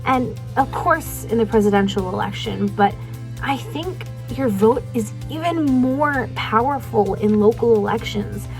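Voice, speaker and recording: A woman's voice: fairly high pitch, a little slow, darkish tone. An eighteen-year-old woman from Virginia, a student giving earnest, articulate interview answers. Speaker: college student voter. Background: faint background music.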